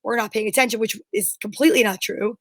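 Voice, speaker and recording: Female co-host, high-pitched, informal livestream chat, dry sound without room echo. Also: steady tone, fast, a bit bright. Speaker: show co-host.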